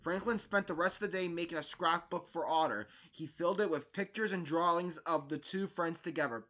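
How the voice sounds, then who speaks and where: High, bright-voiced man reading aloud. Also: a little fast. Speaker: storyteller, video host. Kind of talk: children's picture book read-aloud.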